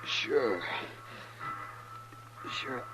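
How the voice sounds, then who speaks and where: An actor playing a wounded, resigned outlaw speaking weakly and haltingly in a 1950s radio western. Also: male voice, mid-range voice, animated, over music, poor audio. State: weak, halting speech.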